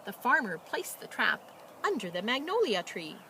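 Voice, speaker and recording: A woman reading aloud as a children's storyteller, with expressive, dramatic emphasis and casual delivery. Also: a little high, very noisy. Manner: animated, dramatic emphasis and drawn-out words.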